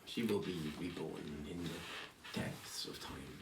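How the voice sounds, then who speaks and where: Man doing a lordly vampire count, smug and menacing, fake French lilt, low and slow, echoey room, off-mic. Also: creaky, full character voice. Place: game store. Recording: roomy, distant mic, faint noise.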